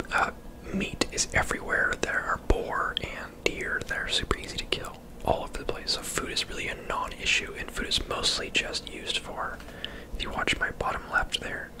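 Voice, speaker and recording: A person giving casual, unhurried ASMR gaming commentary in a soft, breathy whisper close to the microphone. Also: low-quality recording.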